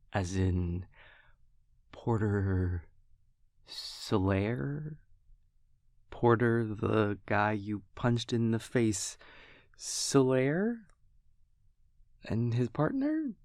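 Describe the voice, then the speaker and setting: Low, gravelly, easygoing vampire boyfriend played by a man. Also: sing-song, extremely slow, long pauses, no room sound, clean studio sound, full character voice. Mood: wry, incredulous. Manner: hesitant, casual, softly teasing. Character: affectionate, wry male vampire boyfriend, self-deprecating and easygoing. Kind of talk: intimate audio roleplay, spoken to the listener.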